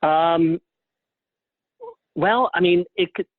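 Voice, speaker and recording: A man's voice: high-pitched, no room sound. Man on a thin phone line. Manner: halting, thinking aloud. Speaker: guest playwright and librettist. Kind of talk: conversational interview answers. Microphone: phone or call line.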